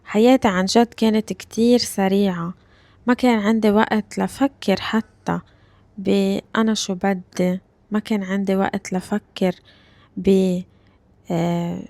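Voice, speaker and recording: A Lebanese woman in her thirties, with a Lebanese Arabic accent, talks slowly and carefully for language learners. She repeats her phrases so they sink in and pauses to check that listeners follow. Her pitch is medium and the recording is dry and close, with no room sound. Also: darkish tone, no echo. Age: 30s. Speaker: Arabic teacher and podcast host. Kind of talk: slow, clear podcast lesson for learners.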